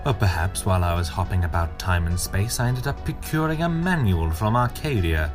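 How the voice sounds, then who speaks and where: An actor playing a gloating, taunting villain in an audio drama, with a drawn-out, mocking, theatrical delivery. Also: male voice, low-pitched, lively intonation, music in the background.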